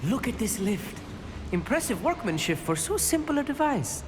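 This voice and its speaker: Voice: medium pitch, sing-song, normal speed, a little hiss or hum, close to an everyday voice. An actor voicing a studious, awed young male student in a video game, speaking in an articulate, marvelling way. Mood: awed, curious. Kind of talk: video game character dialogue. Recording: long hall reverb.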